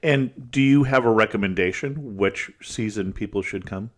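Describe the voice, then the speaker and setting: A man hosting a travel podcast, with a very deep, low-pitched voice. He asks questions and keeps his replies short and easygoing, mostly nudging his guest along. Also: moderate pace. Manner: brief acknowledgments, easygoing. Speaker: podcast host. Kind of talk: interview questions.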